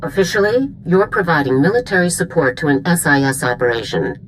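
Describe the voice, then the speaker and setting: A stern woman general in a military game. Her voice is very low yet moves with forceful, varied intonation. She is formal and commanding, with some ambient noise behind her. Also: sing-song, a little fast, poor audio. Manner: authoritative, clipped, formal. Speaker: general briefing a soldier. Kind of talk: video game dialogue, military briefing.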